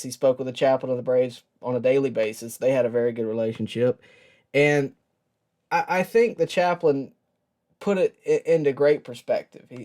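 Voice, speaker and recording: A man hosting a sports show, giving a personal tribute in a reflective, earnest and halting way with pauses. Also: medium pitch, a little mellow. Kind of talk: personal tribute talk to camera.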